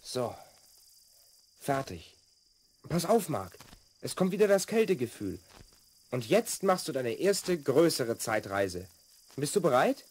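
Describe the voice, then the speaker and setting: A man's voice: medium pitch, big pitch swings, slow, long pauses, darkish tone, husky, heavily acted. An actor playing a confident twelve-year-old boy from the future in a children's audio drama, explaining and instructing with assurance. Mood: calm, focused. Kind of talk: 1970s German children's audio drama acting.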